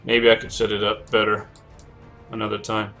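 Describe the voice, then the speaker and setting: A man's voice: fairly low pitch, completely flat delivery, normal speed, vocal fry, fairly bright tone. Man with a cold-cracked voice, streamer, rambling commentary, self-correcting, faint music behind. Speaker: game streamer. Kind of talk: casual gameplay commentary.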